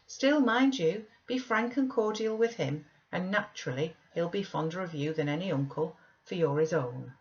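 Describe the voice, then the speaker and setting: A woman's voice: lowish voice, expressive, normal speed, hardly any pauses, muffled recording. A woman playing a reassuring woman servant who coaxes a child, in an audiobook reading. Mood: patient, encouraging.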